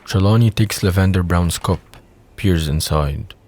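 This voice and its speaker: Deep-voiced male audio drama narrator. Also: measured pace, darkish tone, slight background noise. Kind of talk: scripted audio drama narration, reading stage directions.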